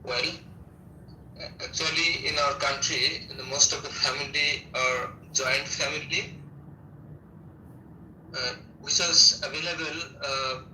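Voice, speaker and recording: A man with a Bangladeshi accent answers practice questions politely and formally, pausing as he goes. His middle-pitched voice is very sharp and comes through a roomy, distant call with faint noise. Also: steady tone. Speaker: student practising for a speaking test. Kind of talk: English speaking practice, exam-style answers. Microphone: online call.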